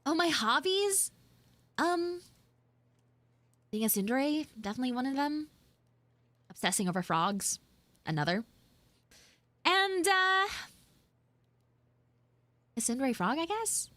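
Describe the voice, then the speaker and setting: A woman's voice: sits high, big pitch swings, slow pace, pauses often, fairly bright tone, dry recording, full character voice. A woman voicing a flustered, shyly smitten tsundere schoolgirl in a live audio roleplay, hesitant and coyly defensive. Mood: flustered, chatty.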